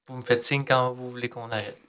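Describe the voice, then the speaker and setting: A man's voice: mid-range voice, flat delivery, brisk, sharp tone. A man hosting a podcast interview, prompting his guest with short questions and echoing back what he says. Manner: brief encouraging prompts and echoes the guest. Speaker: podcast host. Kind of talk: interviewer, conversational.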